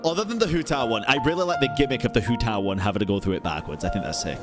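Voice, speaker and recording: A man's voice: lowish voice, animated, very fast. Blunt, slangy male streamer over music. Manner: blunt, frequent swearing, slangy. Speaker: streamer ranking game quests. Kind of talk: casual opinionated live stream commentary. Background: video game music.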